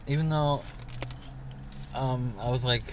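A man's voice: medium pitch, steady tone, slow, background noise. Rambling young man chatting in a car. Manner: rambling, lots of "like" and "um". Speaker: podcast co-host. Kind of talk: casual chat. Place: inside a parked car.

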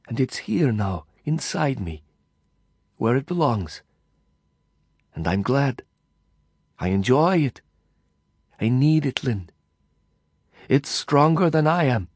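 A man voicing a quietly feverish, hate-driven refugee. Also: medium pitch, husky, dry recording, clean studio sound, pitched above the performer's own voice, heavily acted. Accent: American vowels with Arabic. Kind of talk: audiobook dialogue reading.

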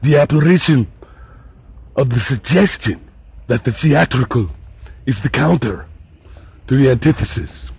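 A man's voice: medium pitch, expressive, a little slow, vocal fry, long pauses, darkish tone, breathy edge, no room sound, pitched above the performer's own voice, full character voice. A man voicing a rambling, self-important counterterrorism expert who babbles pretentious patter in a chirpy voice. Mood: pompous.

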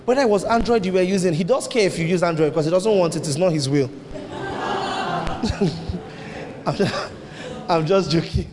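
A man preaching a sermon as pastor, emphatic, his voice jumping up into high exclamations. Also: moderate pace, pauses often. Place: church hall. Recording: long hall reverb, faint noise.

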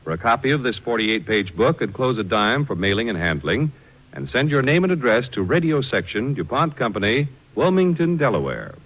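A man's voice: medium pitch, sing-song, brisk, a bit bright, a little hiss or hum, poor audio. An American man reading scripted announcements as a 1940s radio announcer.

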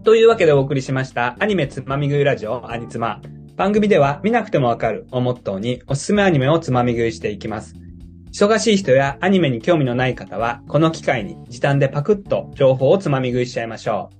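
A man's voice: mid-range voice, big pitch swings, quick pace, faint music behind. A man co-hosting a casual podcast, speaking in a polite, curious way. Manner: polite, curious listener who reacts and asks. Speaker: podcast co-host, self-described anime beginner.

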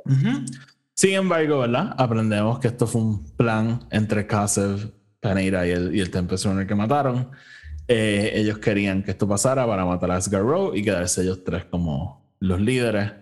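Male podcast co-host, Puerto Rican accent, lowish voice, casual bilingual storyteller. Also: moderate pace, a little mellow. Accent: Puerto Rican Spanish. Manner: code-switches between Spanish and English. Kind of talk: casual chat recapping a story.

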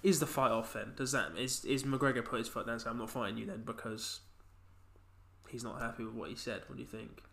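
A man's voice: mid-range voice, steady tone, brisk, vocal fry, a bit bright, a little hiss or hum. A young man hosting a casual podcast chat while he has a cold, talking in a chatty way. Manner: chatty, reads out quotes in a punchy voice. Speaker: podcast host.

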